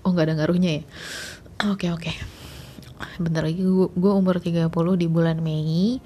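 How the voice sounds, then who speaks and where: A woman's voice: on the low side, level tone, moderate pace, mellow. A woman, telling a story in a rambling, chatty way full of fillers. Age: late 20s. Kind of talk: casual storytelling monologue.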